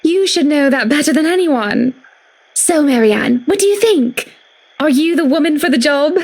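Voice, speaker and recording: A woman's voice: high-pitched, expressive, moderate pace, a little mellow. A woman playing an effusive, gushing wife with a sinister streak in a horror visual novel game, speaking in a sweetly theatrical way.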